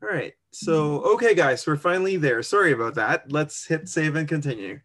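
A man's voice: fairly high pitch, expressive, normal speed, a bit bright. A man co-hosting a casual online walkthrough of an application form, thinking aloud and laughing at his own slips. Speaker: co-host walking through an online immigration form. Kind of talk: casual screen-share walkthrough.

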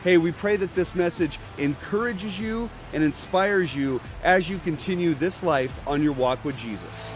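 A man reads a scripted church announcement in a smooth, promotional way. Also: fairly high pitch, lively intonation, normal speed, few pauses, music in the background.

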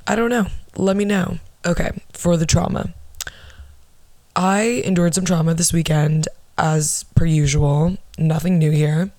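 A young woman hosts her own podcast with a low alto voice, in a casual, rambling way. She swears freely and pokes fun at herself. The sound is dry and close, with no room around it. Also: fairly even intonation, a little slow, warm tone, dry recording. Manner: rambling, profane, self-mocking. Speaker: podcast host. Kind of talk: casual storytelling monologue.

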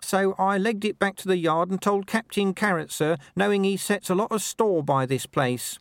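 A man's voice: high voice, normal speed, dry recording, pitched above the performer's own voice. A man voicing a nervous, cautious young constable who answers in an anxious, stammering, overly dutiful way. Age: young adult.

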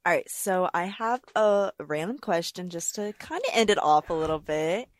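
A woman's voice: fairly low pitch, animated, normal speed, no echo, clean studio sound. A teenage girl hosting a student interview podcast, easygoing and casual. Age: teens. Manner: easygoing, brief affirmations.

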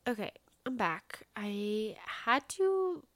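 A woman's voice: mid-range voice, big pitch swings, slow, a bit bright, dry recording. A woman in her late twenties hosting a casual solo podcast, chatting in a bubbly way full of "like" fillers, with a stuffy, congested nose. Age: late 20s. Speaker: podcast host.

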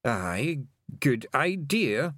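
Casting: small, excitable Scottish pixie warrior chief, animated storybook delivery, raised pitch, fierce bluster. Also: male voice, normal speed, no echo, studio quality. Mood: relieved, cheerful. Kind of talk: audiobook character acting.

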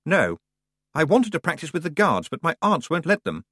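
A man's voice: a little high, huge pitch swings, moderate pace, fairly bright tone, slightly rough, no echo, studio quality, pitched above the performer's own voice, exaggerated voice. A man voicing an awkward, doubtful young nobleman who stumbles over his words. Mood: sheepish. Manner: hesitant, apologetic.

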